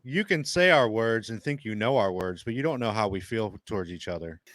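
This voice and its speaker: A man's voice: on the low side, lively intonation, fairly quick, a bit bright, dry recording. A man on a live stream who scolds the other speaker with contempt. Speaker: debate participant.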